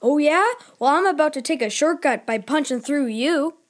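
An actor playing an excitable, combative boy in a children's audio drama, with a brash, teasing manner. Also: sits high, normal speed. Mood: cocky, teasing. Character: excitable, combative boy eager for pirates and scrapes.

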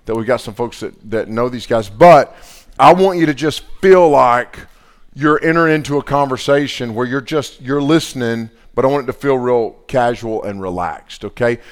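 Man, casual panel host, chatty, easygoing, mid pitch. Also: fairly quick. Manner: relaxed, chatty, laughs often. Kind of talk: casual live event hosting. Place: live event venue.

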